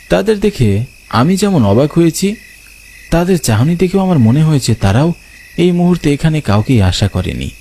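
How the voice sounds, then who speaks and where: A man's voice: medium pitch, a little mellow. A man telling a horror story in dramatic first-person narration.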